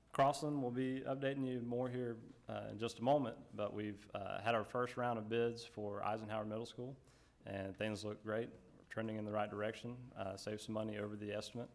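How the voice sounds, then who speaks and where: A man giving a relaxed project update at a school board meeting, with many fillers. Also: on the low side. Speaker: construction project manager.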